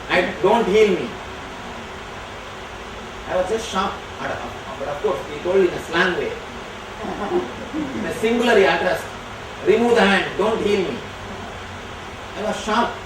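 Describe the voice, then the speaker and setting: A man whose first language is Tamil, answering audience questions as a spiritual teacher, speaking slowly and emphatically. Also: high-pitched. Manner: slow, emphatic and declarative. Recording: echoey hall from far off, noisy.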